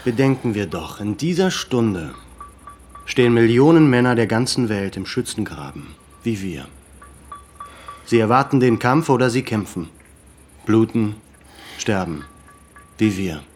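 An actor playing a sober, thoughtful commander of a besieged camp in a radio drama, measured and earnest. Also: male voice, lowish voice, animated, a little mellow, soft background music. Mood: quiet, reflective.

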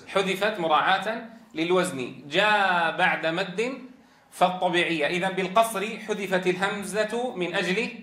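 A man with a Levantine accent and a congested, tired voice, teaching a recitation lesson with careful, deliberate enunciation. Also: high voice, pushed and bright. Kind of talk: lesson explaining a memorised verse text. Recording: echoey room reverb.

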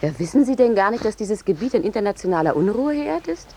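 A brash female war reporter, hungry for sensation and full of her own importance. Her delivery is insistent and swoops animatedly up and down, in old radio drama style, with clear noise on the recording. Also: medium pitch, very animated, mellow. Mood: condescending. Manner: brisk, insistent, self-important. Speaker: actor in a radio play. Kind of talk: 1950s German radio play acting.

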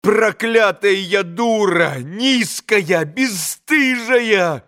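A man's voice: high-pitched, expressive, extremely slow, fairly bright tone, pitched above the performer's own voice, heavily acted. Man voicing a passionate, bitterly wailing young woman. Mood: anguished. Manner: exclamatory, dramatic, repeating herself.

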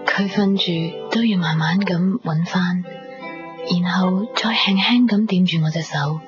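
A gentle young woman in love, played by an actress, with a soft, hesitant Hong Kong Cantonese delivery. It is an intimate radio play, slightly dull in sound, with music underneath. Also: on the low side, a little slow, close to an everyday voice. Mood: wistful, hushed. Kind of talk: radio drama acting with intimate dialogue and narration.

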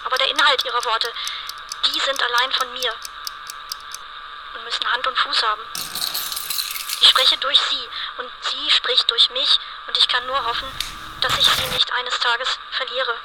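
A woman delivering an interior monologue for experimental radio art, phrasing in a measured, deliberate way. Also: high-pitched, level tone, background noise. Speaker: writer-performer of a radio art piece.

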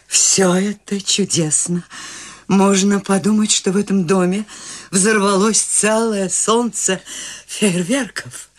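Serene, whimsical old lady. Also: mid-range voice. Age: 80s. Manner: calm, gently amused, unhurried. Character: serene, whimsical eighty-year-old woman. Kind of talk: radio play acting.

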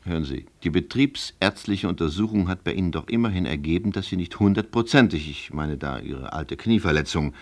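An apologetic transit official in a radio play. His voice is deep with lively rises and falls, hedging and placating as he evades. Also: fairly quick, hardly any pauses. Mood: awkward, evasive. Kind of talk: German radio play acting.

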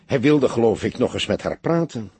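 A man's voice: medium pitch, animated, moderate pace, mellow, husky, dry recording. A man voicing a young unmarried mother, upset, defensive and bitter about men, in an audiobook. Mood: subdued, explaining. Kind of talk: audiobook character dialogue.

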